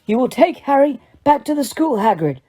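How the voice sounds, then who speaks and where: A woman's voice: high voice, expressive, warm tone, voice pushed up. A woman doing a firm old headmaster wizard. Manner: measured, firm. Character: wise old male headmaster, calm and commanding.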